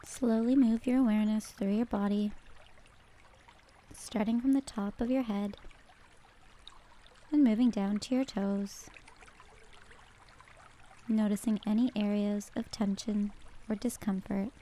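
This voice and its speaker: A woman narrating a scripted guided meditation in a calm, gentle, measured manner. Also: medium pitch.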